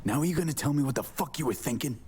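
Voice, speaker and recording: A man's voice: medium pitch, very fast, a little mellow, slight background noise, lightly acted. An actor playing a brash, foul-mouthed young male mercenary in a video game, with an American accent and blunt, slangy delivery. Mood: angry, incredulous. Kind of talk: cinematic video game acting. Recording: music running behind the voice.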